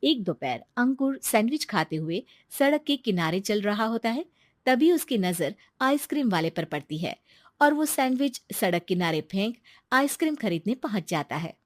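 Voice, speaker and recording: A woman narrating an animated moral story in a calm, even storytelling manner. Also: medium pitch, normal speed, dry recording, clean studio sound.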